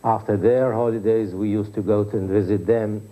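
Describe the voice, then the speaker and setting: A man's voice: on the low side, steady tone, moderate pace, mellow, rough recording. An older man from Palestine, a native Arabic speaker, sharing personal recollections in an interview. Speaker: documentary interviewee.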